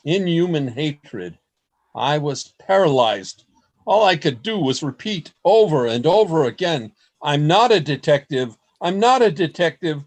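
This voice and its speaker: A man playing an anxious writer narrating his secret diary in a radio play, in a deliberate storytelling manner. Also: fairly high pitch, a little mellow. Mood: frightened.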